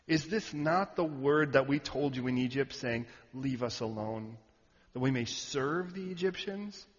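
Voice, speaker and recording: A man preaching a sermon, animated and joking with the audience, sometimes shouting out a word. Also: medium pitch, a little slow. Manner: animated, shouting at peaks, jokey asides to the audience. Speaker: pastor. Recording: muffled hall recording.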